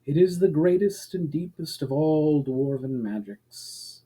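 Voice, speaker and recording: A man voicing a composed, knowing elf woman in a storybook reading, measured and grave. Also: fairly high pitch, lively intonation, dark tone, breathy edge, low-quality recording. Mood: grave, explaining. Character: composed elf woman, knowing, testing, faintly smug host.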